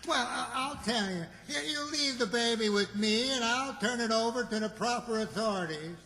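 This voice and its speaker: A man's voice: extremely high pitch, moderate pace, bright, forceful tone, full character voice. A man acting a doddering, amiably confident old country uncle, hesitant and muddled, in an old-time radio comedy. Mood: reassuring. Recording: echoey hall with long reverb.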